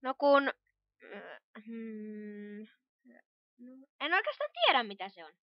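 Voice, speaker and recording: Woman voicing a high-pitched studious young pony magician. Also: huge pitch swings, no room sound. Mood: cautious, firm. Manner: precise, explanatory, lecturing. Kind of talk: animated cartoon fan dub acting. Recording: dull lo-fi home recording.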